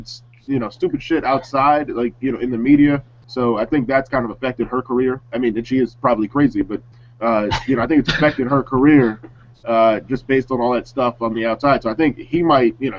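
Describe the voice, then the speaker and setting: A man's voice: mid-range voice, brisk, slight background noise. A man giving opinions in casual chat as a talk-show panelist. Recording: slightly dull, muffled audio.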